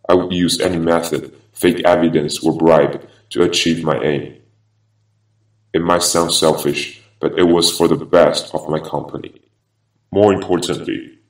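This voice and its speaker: A man voicing a vengeful half-machine former attorney in a grave, deliberate, ominous horror game monologue. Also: rumbling low. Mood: bitter, brooding. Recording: echoey, distant-sounding voice.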